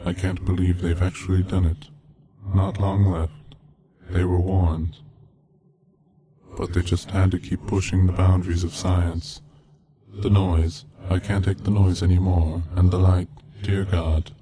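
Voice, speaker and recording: A man reading a scripted eerie story as narrator, in a measured, solemn, deadpan way. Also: low-pitched, husky. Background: background music from game soundtrack.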